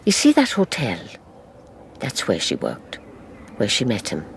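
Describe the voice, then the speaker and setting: A woman's voice: a bass voice, huge pitch swings, measured pace, full character voice. An actress playing a wistful older grandmother recalling her sister, in a radio drama with background noise. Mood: reflective, sad.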